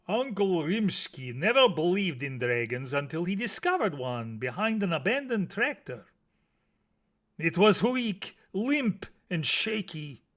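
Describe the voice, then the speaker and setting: A man's voice: high voice, fairly bright tone. A man reading children's stories aloud as scripted narration, in a theatrical, emphatic way that savours the words. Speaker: storybook reader.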